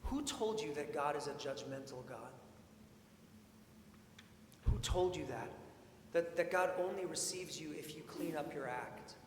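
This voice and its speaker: Guy preacher w a kinda high voice, chatty expressive sermon, big church reverb. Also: measured pace, pauses often. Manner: informal, expressive, repeats questions for emphasis. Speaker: preacher, priest. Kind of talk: sermon, conversational storytelling and rhetorical questions. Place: large indoor church sanctuary. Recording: echoey church hall, long reverb, faint noise.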